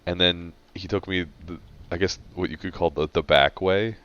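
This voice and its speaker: A man co-hosting a podcast, talking in a laid-back, dry, halting way. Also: deep voice, steady tone, fairly bright tone. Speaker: podcast co-host. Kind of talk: casual chat. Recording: dull lo-fi mic sound.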